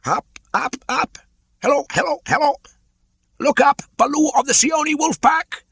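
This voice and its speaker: A man voicing a male kite bird in a storybook reading, calling out in a strained falsetto. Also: unhurried, vocal fry, dry recording, full character voice. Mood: excited calling. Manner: calls out loudly in a strained falsetto. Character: shrill high-flying male kite bird, eager herald. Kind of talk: audiobook character voice.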